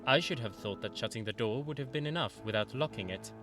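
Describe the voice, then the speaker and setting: A man voicing a sharp, skeptical young male medical student who speaks curtly and dismissively. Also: mid-range voice, animated, fast, soft background music. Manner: curt, dismissive.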